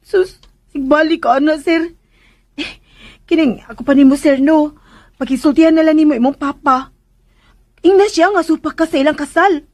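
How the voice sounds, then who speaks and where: Casting: woman as chatty young Filipino maid, radio soap, very high whiny voice, deferential and hesitant. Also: moderate pace, long pauses, a little mellow, natural voice. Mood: flustered, chatty. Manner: flustered, hesitant, whiny pleading. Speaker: radio drama actress. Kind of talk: Filipino radio drama acting.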